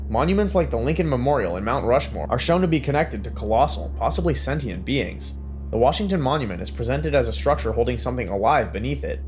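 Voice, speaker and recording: A man reading scripted explainer narration in a measured, even documentary manner. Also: mid-range voice, soft background music.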